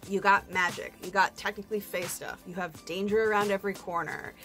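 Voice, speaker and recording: A woman with a mid-pitched voice chats casually as a solo book review host. She speaks informally, tossing in slangy, self-deprecating asides. Faint music plays behind her. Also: fairly even intonation, a little fast, bright, forceful tone.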